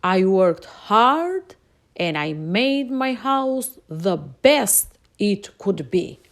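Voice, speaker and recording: A woman's voice: mid-range voice, big pitch swings, drawn-out words, heavily acted. A woman voicing a thoughtful, careful young male pig in a storybook, earnest in manner. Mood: proud.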